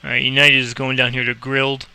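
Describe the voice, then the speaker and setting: Male game tutorial host, informal and rambling. Crisp, very forward tone, little melodic movement, switches into controller phrasing. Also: medium pitch, monotone, quick pace, bright, forceful tone. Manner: informal, rambling, thinking aloud. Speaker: host explaining a game and playing air traffic controller. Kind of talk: casual screen-recorded game tutorial with radio calls.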